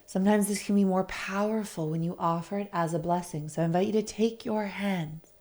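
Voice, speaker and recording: A woman leading a guided meditation in a soothing, deliberate, gently inviting manner. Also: medium pitch, fairly even intonation. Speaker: guest meditation facilitator.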